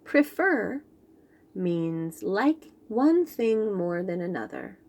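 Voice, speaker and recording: A woman reads a picture book aloud to young children. Her pitch rises and falls a great deal, her pace is slow, and her storytelling is expressive. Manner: expressive, animated.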